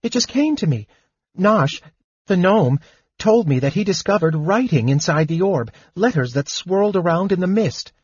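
A small, fidgety male kender chatters in a thin, high voice, put on by a man reading aloud. He stammers and rushes his words. The audiobook sound is a bit dull and dry. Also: expressive, darkish tone, husky, dry recording. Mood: nervous.

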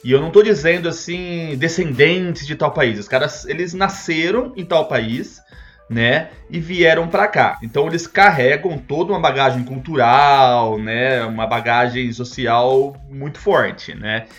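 A man's voice: mid-range voice, measured pace, a bit bright, faint music behind. A man with a Brazilian Portuguese accent hosting a podcast, explaining things to listeners in a casual, chatty way with frequent check-in tags. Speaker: podcast host.

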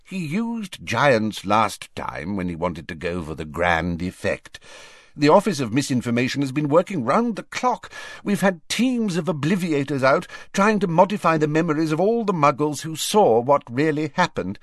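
A man's voice: on the high side, big pitch swings, moderate pace, dry recording, clean studio sound, voice pushed up. A man voicing a flustered, defensive and weary older government minister in an audiobook, with agitated, emphatic delivery. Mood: miserable, weary.

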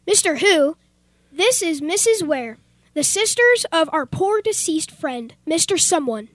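A woman's voice: very high-pitched, very animated, unhurried, heavily acted. A young woman playing a fussy, easily irritated head of a household in a comic radio play, speaking formally. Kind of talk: comic old-time radio theater acting.

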